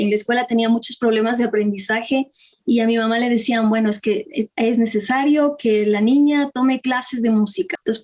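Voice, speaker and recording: Hesitant woman singer from Ecuador. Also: fairly high pitch. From Chimborazo, Ecuador. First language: Kichwa. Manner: hesitant, many fillers, pace shifting. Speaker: singer, guest. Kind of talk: interview answer.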